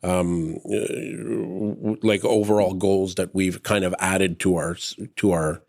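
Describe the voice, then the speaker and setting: Low-voiced man, halting and hesitant. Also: flat delivery, vocal fry, a little mellow. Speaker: guest from a loudspeaker manufacturer. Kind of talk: casual chat.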